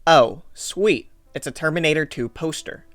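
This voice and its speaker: A man's voice: a little high, normal speed, a bit bright, dry recording. A man reading scripted second-person story narration as the narrator of a fan drama. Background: music.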